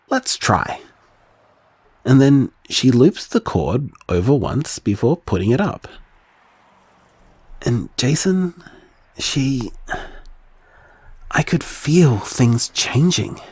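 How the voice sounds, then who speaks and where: A man playing an anxious, tender man confiding in voicemails to his partner in an intimate audio drama, hesitant and earnest, with halting phrasing. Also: medium pitch, a little mellow, breathy edge, no room sound, full character voice. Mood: wondering, hushed.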